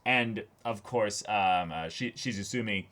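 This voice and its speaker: A young man in casual discussion as co-host of a reaction show, often repeating words and acting out moments as he talks. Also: lowish voice. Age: young adult. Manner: animated, halting and repetitive, acting out moments. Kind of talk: casual chat, episode discussion. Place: small indoor room, seated before a backdrop.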